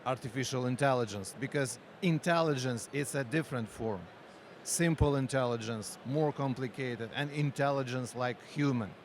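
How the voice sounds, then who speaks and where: Man, Ukrainian accent, mid-pitched voice, hesitant phrasing, faint outdoor vehicle noise. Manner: halting non-native English, frequent pauses and fillers. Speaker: company founder and researcher. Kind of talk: conference interview.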